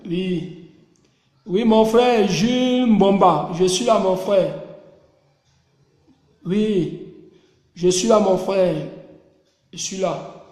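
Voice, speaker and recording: A man with a Cameroonian French accent addresses his online group in a loose, preachy manner. His voice sits high for a man. It is recorded in a roomy space where the sound rings on with a long echo. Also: extremely slow, pauses often, a little mellow. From Cameroon, Mungo region. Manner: repetitive, rallying, preachy. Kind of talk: casual live video address to followers.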